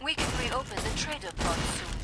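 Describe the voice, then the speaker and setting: A woman voicing a brisk, bossy video game arms trader who briefs fighters with dry, commanding remarks. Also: a little high, lively intonation, close to an everyday voice. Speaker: in-game announcer. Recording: loud gunfire and combat noise.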